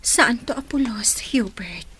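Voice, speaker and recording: A woman playing an anxious, tender young bride-to-be in a romantic radio drama, breathy and pleading. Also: a little high, animated, takes it slowly, sharp tone, a little hiss or hum, heavily acted. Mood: tender, yielding.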